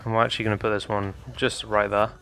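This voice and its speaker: A young man with a slightly hoarse voice casually talking viewers through a building tutorial, addressing them as guys. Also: on the low side, little pitch movement, fast talker, pushed and bright. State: slightly hoarse, losing his voice. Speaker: Minecraft building tutorial creator. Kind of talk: casual gameplay tutorial commentary. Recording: faint background music.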